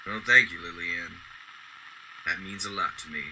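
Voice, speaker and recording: A man playing a kindly, melancholy old widowed war veteran in a radio drama, speaking in a measured, wistful way. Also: sits low, sharp tone, a little hiss or hum, rough recording. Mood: moved, self-doubting. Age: older.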